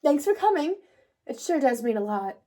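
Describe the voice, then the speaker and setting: Lady doing a bossy theatrical little girl from a storybook, high voice, super bouncy. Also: big pitch swings, normal speed, mellow. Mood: welcoming. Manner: emphatic, showy, sing-song announcing. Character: confident, bossy, theatrical young girl running a lemonade stand. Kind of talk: children's storybook read-aloud.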